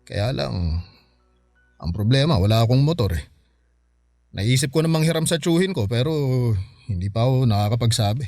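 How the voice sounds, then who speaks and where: A man acting as a young Filipino husband in a radio drama. He speaks in a middle-pitched voice, earnest and unsure, apologizing as he goes. Music plays behind him. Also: lively intonation, normal speed, pauses often, darkish tone, no room sound, clean studio sound, close to an everyday voice. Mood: hesitant, worried. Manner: hesitant, apologetic. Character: earnest, hesitant young husband who lost his job.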